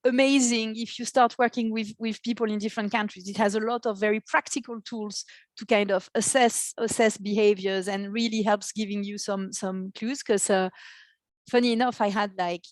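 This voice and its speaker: A woman's voice: medium pitch, fairly even intonation, normal speed, no room sound. A woman answering as a podcast guest in a conversational, explanatory way. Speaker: podcast guest, marketing executive.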